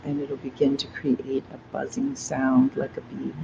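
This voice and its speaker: A meditation guide leading a guided breathing meditation in a soft, gentle, unhurried way. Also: medium pitch, monotone, vocal fry, background noise. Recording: dull lo-fi recording with faint ambience.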